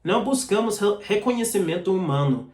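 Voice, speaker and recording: Earnest preacher with a foreign accent, echoey room. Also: male voice, high voice, lively intonation, brisk, a little mellow. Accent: foreign accent in Portuguese. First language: not Portuguese. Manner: earnest, direct teaching, reading out verses. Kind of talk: sermon.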